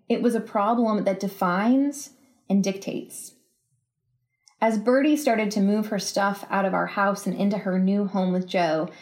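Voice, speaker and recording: A woman's voice: medium pitch, a little mellow. A woman in her thirties with an American accent, a writer speaking thoughtfully as a guest about personal experience. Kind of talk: reading a personal essay aloud, then thoughtful interview answers.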